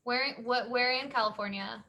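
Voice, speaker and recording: A woman's voice: fairly high pitch, moderate pace, bright, forceful tone. A woman from the San Francisco Bay Area who owns a small business, hosting a live online workshop in easygoing casual chat, often laughing and trailing off.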